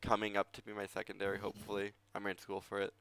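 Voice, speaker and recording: A man with a gravelly voice running a tabletop game on stream, chatting casually in a rambling, joking way and acting out imaginary dialogue. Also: on the low side, monotone, fast, a bit bright, no room sound. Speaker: game master.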